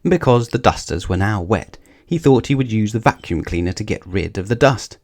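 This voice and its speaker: A man's voice: fairly low pitch, expressive, normal speed, a little mellow. A man reading a scripted children's story aloud as the narrator.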